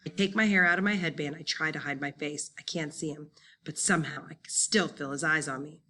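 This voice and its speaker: Bright-voiced woman reading a children's novel. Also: sits low, brisk, slight background noise. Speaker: audiobook reader. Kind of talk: expressive first-person children's novel narration.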